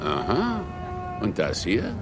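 Radio drama: sly, suspicious beat cop, extremely animated pitch, sardonic, clear traffic noise behind. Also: male voice, exaggerated voice. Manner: sardonic, drawn-out questioning. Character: chatty, suspicious neighbourhood policeman with sly humour. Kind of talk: radio play acting. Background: outdoor traffic rumble.

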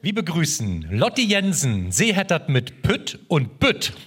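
A man radio host with a Low German accent, interviewing a guest in a teasing way. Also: unhurried, a faint crowd, slight background noise. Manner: lively, teasing questions.

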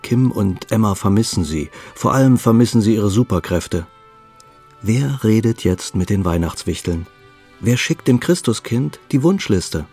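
A man's voice: lowish voice, expressive, a little slow, a little mellow, slightly rough, faint music behind, exaggerated voice. A man reading scripted story narration for a German radio play.

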